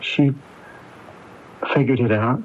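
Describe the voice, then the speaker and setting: An actor as an anxious, slow-spoken cornered man. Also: medium pitch, lightly acted. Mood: worried. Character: anxious, guilt-ridden man cornered by gangsters, protective brother. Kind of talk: radio mystery drama acting. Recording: dull, noisy AM radio recording.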